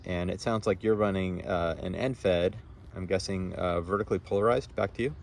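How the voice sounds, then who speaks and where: A man's voice: low-pitched, a little fast, creaky, slight background noise. A man, an amateur radio operator, chatting casually and explaining technical things in a rambling way outdoors. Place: outdoors on a front lawn.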